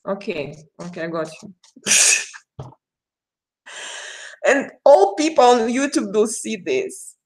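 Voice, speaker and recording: A woman's voice: medium pitch, sing-song, takes it slowly, long pauses, poor audio. A woman with a Russian accent, a native Russian speaker, chatting casually on a live stream as a tarot reader, addressing viewers by name and laughing often.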